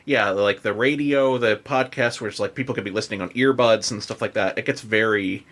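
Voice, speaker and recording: A man's voice: lowish voice, brisk, a bit bright. An American man hosting a podcast, chatting casually in a loose, rambling, informal way. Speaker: podcast host. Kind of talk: casual interview chat.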